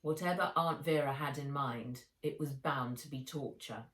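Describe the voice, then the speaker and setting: A woman reading her own children's novel aloud as narrator, in measured, expressive storytelling. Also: rumbling low, slight background noise. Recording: roomy echo, distant microphone.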